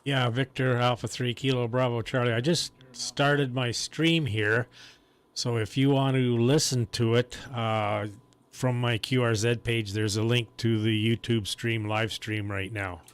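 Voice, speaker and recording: A man's voice: medium pitch, fairly even intonation, measured pace, smooth. A man who runs a home ham radio station, chatting casually and explaining things in long, loose sentences. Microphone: desk mic on a foot switch.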